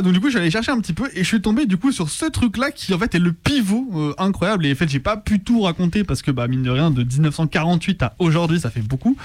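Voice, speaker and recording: A young man chatting casually as a radio co-host, enthusiastic, slangy and rambling. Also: fairly high pitch. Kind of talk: casual unscripted radio commentary. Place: radio studio. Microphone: studio microphone.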